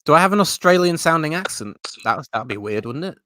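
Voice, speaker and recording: A man's voice: on the high side, animated, fast, bright, forceful tone, slight background noise. A man from Yorkshire with a Yorkshire accent, hosting a casual live-stream chat and answering viewer comments. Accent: Yorkshire English. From Yorkshire, England. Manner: chatty, reads out viewer comments and answers them. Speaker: host.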